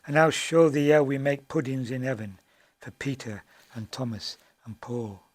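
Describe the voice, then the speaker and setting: A man voicing a polite, brisk young female angel in a comic monologue. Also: mid-range voice, close to an everyday voice.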